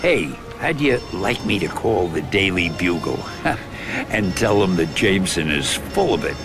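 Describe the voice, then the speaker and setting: A cheerful older comic shop owner with an enthusiastic, folksy manner. His voice is low and gravelly but lively, with faint game music behind. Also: measured pace. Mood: friendly. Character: friendly older male comic store owner. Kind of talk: video game cutscene acting.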